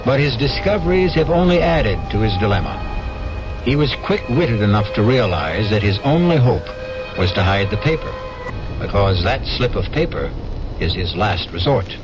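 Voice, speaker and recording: A middle-aged man narrating a 1970s radio mystery drama in a measured, grave storytelling manner. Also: fairly low pitch, sing-song, dull audio, over music.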